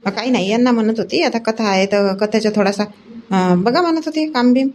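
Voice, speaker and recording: A woman voicing a sharp-tongued middle-aged village wife in a cartoon comedy, speaking colloquial rural Vidarbha Marathi. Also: fairly high pitch, brisk, slight background noise, close to an everyday voice. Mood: brisk, covering up. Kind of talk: homely cartoon comedy dialogue.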